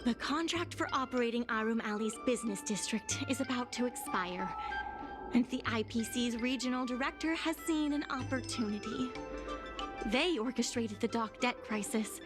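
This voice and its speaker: Woman playing game secretary, young and earnest, female, raised pitch, polite, articulate, worried, music underneath. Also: moderate pace, lightly acted. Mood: somber recounting. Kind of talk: video game voice acting.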